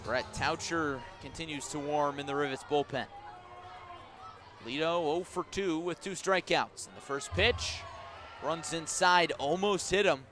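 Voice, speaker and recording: A man with a fairly high voice gives live radio play-by-play of baseball. He describes the scene in measured, detailed phrases and gets punchier on the action. Music plays clearly behind him at an outdoor ballpark. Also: sharp tone. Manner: descriptive, unhurried between pitches, punchier on the action. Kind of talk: live radio sports commentary.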